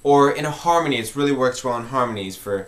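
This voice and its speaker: A man's voice: mid-range voice, quick pace. Guy walking through a music lesson, informal, explaining stuff as he goes. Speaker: music tutorial host. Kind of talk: informal instructional talk.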